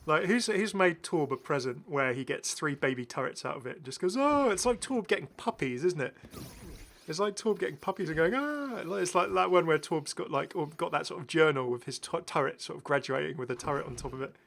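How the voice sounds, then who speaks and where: A man's voice: a little high, a little fast, vocal fry, a bit bright. A British man giving casual, enthusiastic, rambling commentary as the host of a gaming video. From Britain. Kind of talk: casual commentary over game footage.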